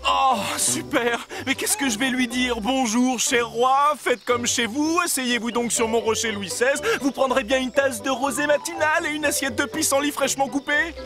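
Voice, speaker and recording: A man playing a naive, anxious poor young man with an excitable, fretful manner in a French cartoon dub. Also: very high-pitched, moderate pace, few pauses, over music. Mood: eager.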